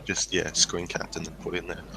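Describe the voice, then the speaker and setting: A man's voice: low-pitched, completely flat delivery, normal speed, gravelly, noisy background. A man hosting a casual livestream chat, rambling with lots of fillers and restarts. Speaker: stream host.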